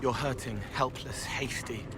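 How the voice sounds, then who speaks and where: An actor voicing a strange, gentle young spirit in a video game, halting, dreamy and earnest, with music behind. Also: male voice, mid-range voice, fairly even intonation, heavy background noise, lightly acted.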